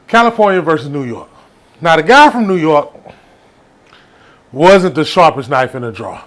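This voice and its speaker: Male comic storyteller, Southern US accent, slightly high voice, casual, rambling, animated. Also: normal speed. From Texas, United States.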